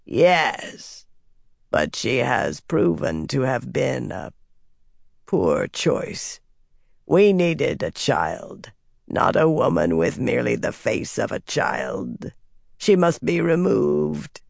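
An audiobook narrator voicing a menacing, commanding female servant of evil with a slow, rasping, growling hiss. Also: medium pitch, big pitch swings, no room sound, studio quality, pitched below the performer's own voice, exaggerated voice. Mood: calculating, stern. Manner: slow, imperious, curt. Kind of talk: audiobook character voice.

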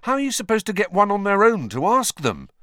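A man's voice: high voice, wildly swooping pitch, fairly quick, pushed and bright, no echo, studio quality, pitched above the performer's own voice, exaggerated voice. A man voicing a reluctant, flustered teenage schoolboy in an audiobook, blurting out his protests. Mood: baffled.

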